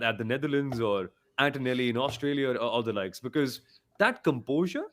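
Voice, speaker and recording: A man's voice: mid-range voice, fairly bright tone. A man hosting a casual motorsport podcast, making his points emphatically and reading out listener comments. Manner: emphatic, repeats points for weight.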